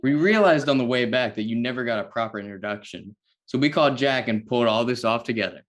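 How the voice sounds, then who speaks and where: A man's voice: medium pitch, quick pace, natural voice. A man playing a friendly, helpful superhero colleague in a scripted table read.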